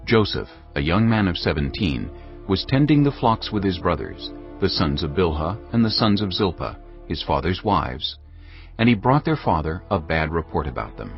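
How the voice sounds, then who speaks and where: Deep-voiced, expressive male scripture narrator. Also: normal speed. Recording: dull audio over background music.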